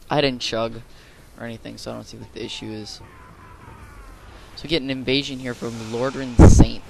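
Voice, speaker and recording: A young man with a slightly hoarse, mid-pitched voice talks casually over his gameplay footage. He rambles and restarts his sentences like a regular channel host. Steady background noise sits clearly under the recording. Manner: chatty, rambling, with fillers and restarts.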